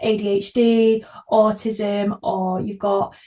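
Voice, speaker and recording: A woman's voice: mid-range voice, little pitch movement, slow, dark tone. A woman hosting a podcast, chatting casually in an earnest, effusive way. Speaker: podcast host, actor. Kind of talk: casual interview chat.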